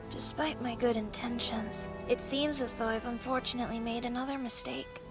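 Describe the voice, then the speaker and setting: A woman voicing an eerily calm, deceptive demon child with polite, placid words in an English anime dub. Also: on the high side, moderate pace, lightly acted. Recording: loud music behind the voice.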